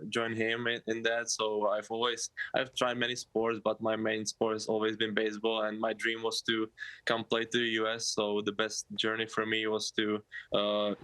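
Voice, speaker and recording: A man's voice: lowish voice, fairly bright tone, a little hiss or hum, low-quality recording. A man in his twenties with a Czech accent, a native Czech speaker, answering interview questions as a college athlete in a hesitant way. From Czech Republic. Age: 20s. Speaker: college baseball player, interview guest.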